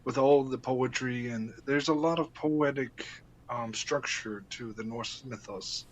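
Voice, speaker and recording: A man's voice: mid-range voice, measured pace, rough recording. An Icelandic man, a native Icelandic speaker, talking as a podcast guest in a casual interview, hesitant, with many fillers and restarts.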